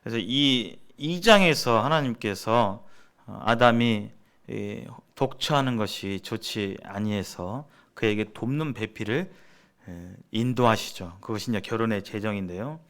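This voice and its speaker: A man's voice: on the low side, animated, measured pace, fairly bright tone. Earnest Korean-style preaching man, emphatic delivery. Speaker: preacher leading a church seminar. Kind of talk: sermon-like teaching on a church seminar.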